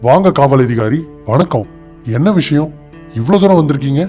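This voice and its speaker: A man voicing a decisive village headman with a formal, firm manner in an animated moral story. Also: a little high, normal speed, faint music behind, natural voice. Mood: composed.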